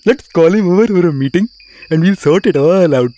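High-voiced cartoon jungle animal. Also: male voice, expressive, brisk, warm tone. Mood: bossy. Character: brusque, bossy jungle animal, fawning before the king. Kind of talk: cartoon voice acting.

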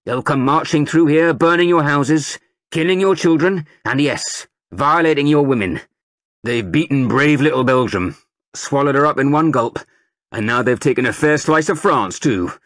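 A man's voice: fairly high pitch, moderate pace, fairly bright tone, no echo, studio quality, pitched above the performer's own voice, lightly acted. A man voicing a blustering middle-aged army sergeant major who whips up a crowd with a rousing recruiting speech.

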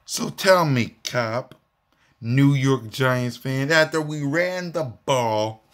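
An American man in his thirties giving a mocking, repetitive, drawn-out sports fan taunt, recorded on a phone indoors. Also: medium pitch, a bit bright. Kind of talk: taunting fan vlog, casual trash talk. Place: small room indoors at home. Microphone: phone at arm's length.

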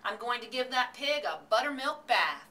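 A woman's voice: fairly high pitch, lively intonation, normal speed, bright, forceful tone, recorded from a distance, pitched above the performer's own voice. A woman voicing a determined farmer's wife in a storybook, emphatic and matter-of-fact. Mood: decided.

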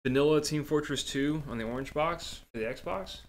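Young man, podcast co-host; loud, profane, game-reactive casual chat. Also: fairly high pitch, moderate pace. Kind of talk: casual chat while gaming.

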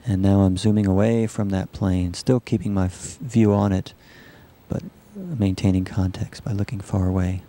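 A man narrating a software demonstration as a researcher, explaining it in a halting, measured way. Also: low-pitched. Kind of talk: live demo narration of a computer animation.

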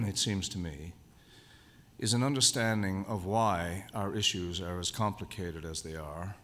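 A man giving a formal, measured lecture at a convention, speaking deliberately and stressing key words. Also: low voice, fairly bright tone. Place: lecture hall. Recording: long hall reverb.

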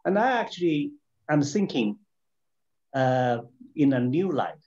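A man from China with a Chinese accent speaks off the cuff as a webinar panelist, arguing policy in a halting, explanatory way with rhetorical questions. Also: a little high, big pitch swings, a little mellow, dull audio. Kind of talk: extemporaneous argument in a policy discussion.

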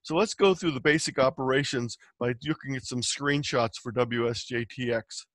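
A man's voice: mid-range voice, moderate pace, a bit bright, no room sound. A man giving an instructional slide presentation, explaining things step by step as a teacher would. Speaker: presenter teaching amateur radio software.

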